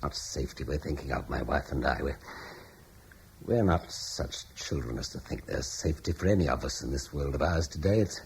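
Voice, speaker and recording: A fearful, stammering neighbor. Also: male voice, very deep voice, brisk, creaky, darkish tone. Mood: bleak. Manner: hesitant, stammering, gloomy. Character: anxious older Romano-British man, fearful, bitter. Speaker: neighbor fleeing with his wife. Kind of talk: radio drama acting. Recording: old broadcast with faint hiss.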